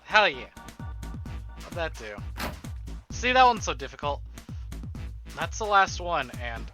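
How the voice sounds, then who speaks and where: A man streaming a game from home, his voice sitting a little higher than most men's. He talks casually, muttering through each puzzle step and throwing in jokes. Game music plays clearly beneath him. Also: very animated, takes it slowly. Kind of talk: live game playthrough, reading and commenting.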